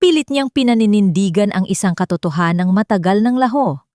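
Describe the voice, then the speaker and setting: A woman's voice: medium pitch, animated, brisk, dark tone, no echo, clean studio sound. A woman with a Filipino accent reading scripted dramatic narration for an audio love story.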